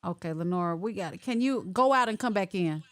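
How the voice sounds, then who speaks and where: A woman hosting a casual online interview over a video call, chatty and animated as she works through connection glitches. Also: medium pitch, fairly quick. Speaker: host.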